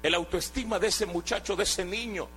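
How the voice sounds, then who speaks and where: A man's voice: high voice, brisk, sharp tone, slight background noise. A man from Guatemala, giving an earnest sermon testimony and often restarting his words. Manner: earnest, exhorting, with hesitant restarts.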